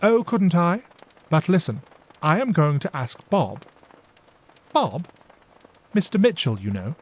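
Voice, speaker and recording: A man's voice: a little high, very animated, slow pace, pitched above the performer's own voice, exaggerated voice. A man voicing an eager impetuous younger sister. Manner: eager, coaxing, girlish.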